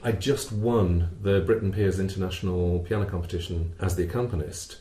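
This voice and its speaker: Man, low-pitched, very soft dark tone, measured explanatory teaching, step by step, echoey room. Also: steady tone. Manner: measured, rhetorical questions answered step by step. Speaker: vocal coach presenting a webinar. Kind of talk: explanatory teaching talk with a co-presenter. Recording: roomy, reverberant sound.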